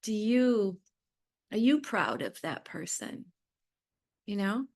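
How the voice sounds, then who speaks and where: A woman's voice: mid-range voice, very animated, slow, vocal fry. A woman talking casually and reflectively as a podcast guest, blunt and emphatic, repeating short phrases. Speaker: podcast guest, business owner. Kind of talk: casual reflective interview talk.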